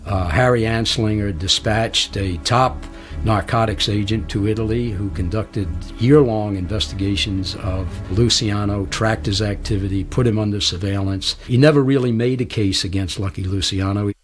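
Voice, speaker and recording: A man's voice: on the low side, steady tone, normal speed, soft background music. A man explaining events thoughtfully in a documentary interview.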